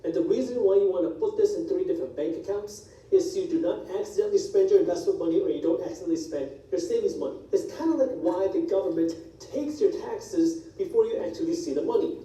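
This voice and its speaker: Brief: man, Punjabi first language, finance explainer, high and dark-toned, replayed through hall speakers, distant. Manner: brisk, direct, walks through numbers. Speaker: personal finance educator. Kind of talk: scripted explainer video. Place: played on speakers in a church hall. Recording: video replayed in an echoey hall, heard from afar.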